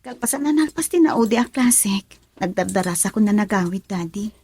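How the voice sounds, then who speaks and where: An actress playing a dutiful, gentle young university student daughter in a radio drama, with a Filipino accent. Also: female voice, medium pitch, expressive, a little fast, husky. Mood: respectful, concerned. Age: young adult.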